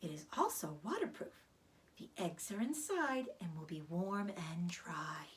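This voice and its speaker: A woman voicing a weary, gentle, aging female spider from a children's storybook, speaking in a weak, soft half-whisper with quiet pride. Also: on the low side, big pitch swings, a little hiss or hum. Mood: weary, assured. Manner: measured, subdued. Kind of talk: children's storybook read-aloud.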